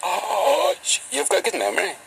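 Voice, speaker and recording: Teasing Glaswegian man, gravelly voice. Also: sits high, huge pitch swings, normal speed, fairly bright tone, rough recording. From Glasgow, Scotland. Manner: flirty, joking, teasing, mock-serious. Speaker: vlogger. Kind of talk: playful casual chat.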